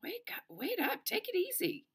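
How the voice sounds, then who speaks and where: A woman's voice: mid-range voice, sing-song, normal speed, a bit bright, voice pushed up. Woman voicing an earnest, cautious teenage boy. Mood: urgent.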